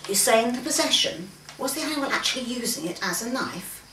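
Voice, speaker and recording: Woman playing excitable storybook gentleman, inquisitive, raised pitch, mic at a distance. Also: quick pace, close to an everyday voice. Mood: intrigued. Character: cheerful, excitable young gentleman animal enthusiast. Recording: roomy, distant mic, faint background noise.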